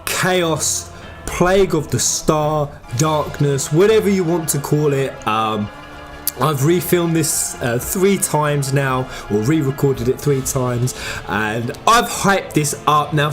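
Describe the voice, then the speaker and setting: A man with a slightly high voice delivers casual fan-theory commentary as a gaming channel host. His talk is slangy and excitable, building suspense as he goes. Faint music plays behind him. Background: background music.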